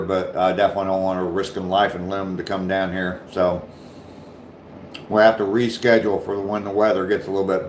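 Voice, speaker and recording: A man from Ohio with a mild southern Ohio accent and a deep voice, chatting casually on his livestream and answering viewers' comments. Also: echoey room, a little hiss or hum. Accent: southern Ohio, slight Appalachian touch. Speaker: livestream host.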